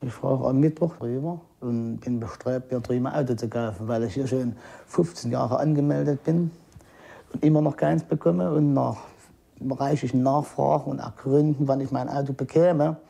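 A man's voice: mid-range voice, fairly even intonation, normal speed, creaky, warm tone, breathy edge. A man in his forties from East Germany, grumbling colloquially in a spontaneous personal account. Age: 40s.

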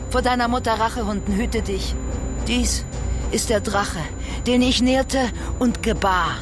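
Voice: mid-range voice, a little slow, music in the background, close to an everyday voice. An actor playing a doomed tragic mother in a radio drama, pleading with and cursing their child in solemn, archaic verse. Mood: warning, lamenting.

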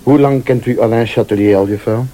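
An actor with a Flemish accent playing an insistent, accusing man in a radio play, deliberate and probing, sometimes sardonic or pleading. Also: medium pitch, mellow, close to an everyday voice.

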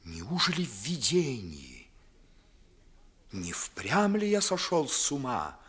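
An actor playing a proud, wounded young gentleman in a classical radio play, declaiming bitterly and with agitation in a husky voice. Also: medium pitch, huge pitch swings, takes it slowly, exaggerated voice. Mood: agitated, brooding. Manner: declamatory, agitated, theatrical monologue. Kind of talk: 1950s Russian classical radio theatre acting.

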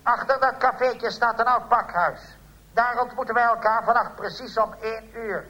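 A man's voice: very high voice, moderate pace, sharp tone, low-quality recording. Een man die in een komisch hoorspel een geheimzinnige tipgever speelt, samenzweerderig en bedachtzaam. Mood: secretive. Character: mysterious informant arranging secret midnight meeting. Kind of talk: comic radio play acting.

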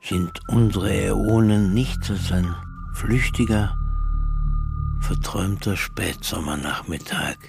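An actor playing an ominous, solemn teller of ancient cosmic mysteries in a radio drama, speaking poetically. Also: male voice, fairly low pitch, slow pace, vocal fry, over music. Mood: solemn, mysterious.

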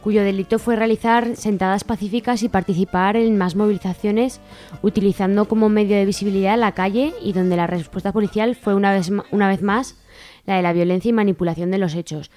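A woman's voice: mid-range voice, steady tone, a little fast, a little mellow, faint music behind. A woman from reading scripted announcements of upcoming local events as a radio presenter.

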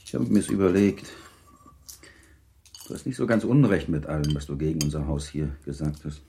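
Dark-voiced, reserved older husband. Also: male voice, very deep voice, moderate pace, creaky, a little hiss or hum. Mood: considering. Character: older husband, reserved civil servant, indulgent, self-deprecating. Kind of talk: German radio play acting.

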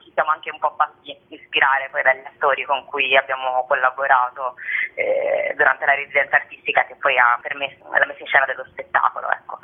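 A woman's voice: a bass voice, flat delivery, brisk, slightly rough. A woman theatre director calling in to a radio interview, talking chattily with lots of fillers and restarts over a phone line.

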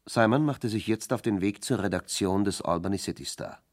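A man's voice: fairly low pitch, a little fast. A man reading scripted narration for a German radio crime drama.

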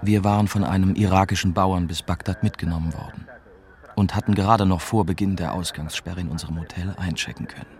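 A man's voice: deep voice, fairly quick, darkish tone. An actor playing a young German journalist, weary and shaken, who narrates his war memories reflectively in a radio drama. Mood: weary, bitter. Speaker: narrator and lead character.

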